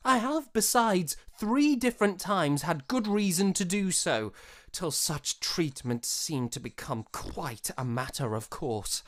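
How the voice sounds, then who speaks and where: An actor playing a proud, passionate young eighteenth-century gentleman in an audio drama, speaking formally and theatrically. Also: medium pitch, lightly acted. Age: young adult. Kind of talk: period audio drama acting.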